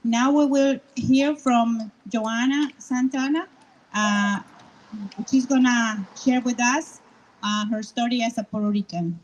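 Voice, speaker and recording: A woman's voice: fairly high pitch, steady tone, takes it slowly, background noise. A woman hosting a press event, introducing speakers with many fillers. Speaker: host. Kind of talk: emceeing a press event in two languages. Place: outdoor rally.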